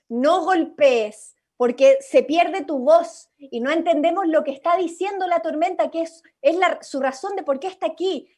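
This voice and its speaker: A Chilean woman on a video call, directing a radio play workshop in an animated, encouraging way. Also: very high-pitched. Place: indoors at home, video call. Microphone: computer mic on video call.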